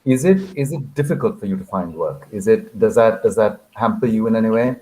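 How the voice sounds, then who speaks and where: A man's voice: lowish voice, fairly quick, dark tone, rough recording. A man moderating a literary conversation, courteous, often repeating words as he forms his questions. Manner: courteous, hesitant repetitions. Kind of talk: conversational interviewing.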